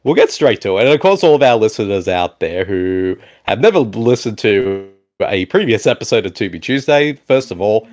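A man hosting a casual movie commentary podcast, animated, often answering with a repeated "exactly" or "indeed". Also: lowish voice, moderate pace. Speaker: podcast host.

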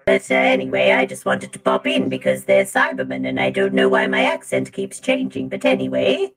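A performer voicing a chatty, mildly vain robot woman with a drifting British accent, halting and polite in an improvised podcast bit. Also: extremely low pitch, completely flat delivery, a bit bright. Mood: mildly snobbish.

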